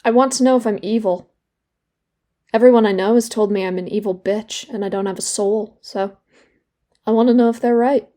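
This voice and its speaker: A young woman playing a guilt-ridden, wry and bitter therapy patient in an audio drama, speaking candidly and haltingly. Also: medium pitch, fairly even intonation, warm tone, lightly acted. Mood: guilty, bitter. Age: young adult. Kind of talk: naturalistic audio drama dialogue.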